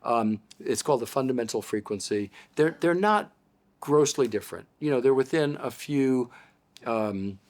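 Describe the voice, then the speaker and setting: A man's voice: mid-range voice, expressive, normal speed. Older American man explaining patiently. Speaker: audiologist guest. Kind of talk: explanatory interview talk.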